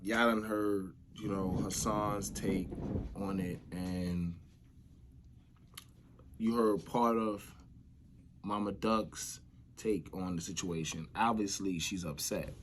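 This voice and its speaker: A man's voice: deep voice, steady tone, takes it slowly, pauses often, noisy background. A man with an American accent giving casual spoken commentary as the host of a vlog. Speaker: vlogger hosting commentary show.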